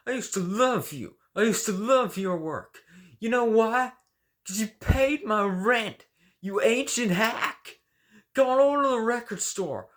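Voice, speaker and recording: Brief: a man playing a volatile, theatrical male artist, hushed one moment, shrieking the next, with a slow drawl. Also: low-pitched, exaggerated voice. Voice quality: theatrical, swings between whispers and shrieks. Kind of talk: video game character lines.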